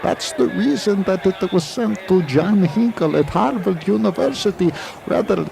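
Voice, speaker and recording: A man playing a calm scientist in a 1980s radio drama, explaining things patiently and matter-of-factly. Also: high-pitched, normal speed, creaky, full character voice. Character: calm male scientist who fetched Shakespeare.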